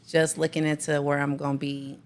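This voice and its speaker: A woman's voice: deep voice, level tone, a little fast. A woman guest on a casual talk show, talking in a laid-back, blunt way and laughing easily. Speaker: guest co-host. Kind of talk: casual chat.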